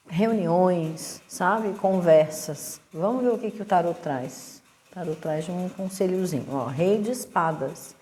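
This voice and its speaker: A Brazilian woman reading a weekly horoscope and tarot in a relaxed, hesitant way, clearing her throat as her voice catches. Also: fairly low pitch. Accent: Brazilian Portuguese. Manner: relaxed, hesitant, lots of fillers and restarts. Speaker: astrology and tarot reader. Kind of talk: casual weekly horoscope talk.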